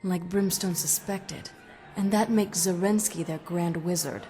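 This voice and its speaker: Woman doing a cool deadpan half vampire, low-ish voice, music behind. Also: a little slow, close to an everyday voice. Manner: dry, cool, sardonic. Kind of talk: video game cutscene acting. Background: background music.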